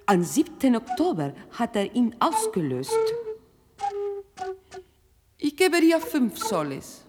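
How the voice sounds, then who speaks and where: A woman's voice: on the high side, wildly swooping pitch, takes it slowly, a little mellow, big-room sound, faint music behind, heavily acted. A woman voicing a suspicious male pawnbroker who haggles and threatens in a radio play. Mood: businesslike, wary.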